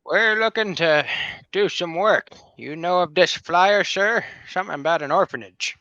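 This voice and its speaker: A man's voice: sits high, normal speed, pushed and bright, no room sound, lo-fi sound. A man playing a crusty, wary elderly adventurer in a tabletop game, dry, folksy and teasing. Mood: brisk, sardonic. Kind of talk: tabletop roleplay.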